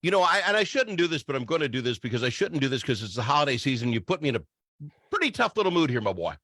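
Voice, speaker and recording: A man hosting a radio show, bantering about music in an animated, teasing, exclamatory way. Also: medium pitch, rapid-fire, dry recording, clean studio sound.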